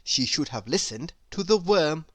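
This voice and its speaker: A woman reading a children's story aloud, deliberate and expressive in her storytelling. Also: sits low, no echo, studio quality. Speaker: storybook reader. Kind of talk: read-aloud narration for children.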